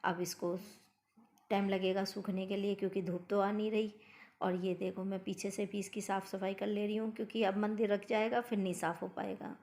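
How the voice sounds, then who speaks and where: A middle-aged woman with a hoarse voice, chatting homely to her viewers as she narrates her daily routine. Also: mid-range voice, little pitch movement, brisk, darkish tone. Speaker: vlogger.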